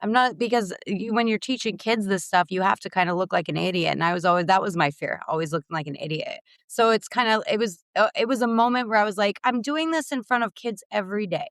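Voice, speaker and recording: A woman's voice: medium pitch, fast talker, few pauses, a bit bright, no echo, clean studio sound. A woman in her late thirties co-hosting a podcast, candid and casual, swearing now and then and trailing off. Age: late 30s. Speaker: podcast co-host, theater teacher. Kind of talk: casual chat.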